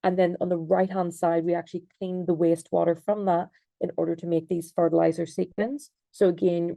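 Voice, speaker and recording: A woman's voice: lowish voice, vocal fry, dark tone, no echo. A young Irish woman giving a presentation over a video call, hesitant, with many fillers and restarts. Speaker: designer and researcher.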